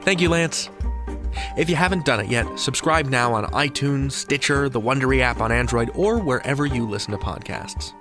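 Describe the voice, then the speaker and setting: A man hosting a podcast, reading the outro and credits in a measured, announcer-like way. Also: mid-range voice, animated. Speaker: podcast host. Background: music.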